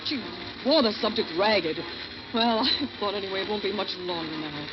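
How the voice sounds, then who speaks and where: An actress playing a bitter, desperate failed actress secretly plotting murder, narrating in a brooding, confessional 1940s radio thriller style. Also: female voice, mid-range voice, expressive, moderate pace, background noise, natural voice. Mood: irritated, grim. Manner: brooding, dramatic, confessional delivery. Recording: muffled vintage radio recording with loud music.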